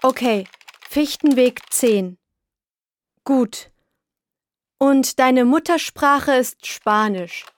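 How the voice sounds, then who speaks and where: A woman playing a helpful young friend who fills in a form for a caller, speaking slowly and clearly in a scripted textbook dialogue. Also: high voice. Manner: slow, clear and patient.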